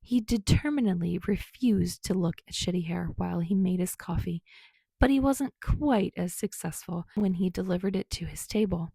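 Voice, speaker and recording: A woman's voice: lowish voice, moderate pace, creaky, dark tone, husky, no echo. A woman reading a story aloud as scripted audiobook narration.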